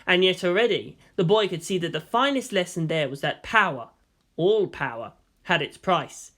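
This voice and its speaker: A man's voice: sits high, lively intonation, normal speed, sharp tone. A man reading a story aloud as scripted narration. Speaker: fan fiction reader.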